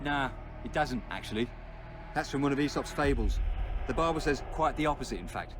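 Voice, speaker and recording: A man's voice: medium pitch, animated, fairly quick, over music, lightly acted. A man voicing a pious, agitated townsman of the crusade era in a video game. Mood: dry, correcting.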